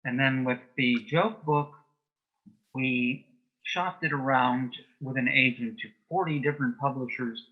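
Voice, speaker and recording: A man with a dry, deadpan sense of humour, telling anecdotes and jokes as an interview guest, in a husky voice. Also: mid-range voice, unhurried, phone-quality sound. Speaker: interview guest, author and former folklorist.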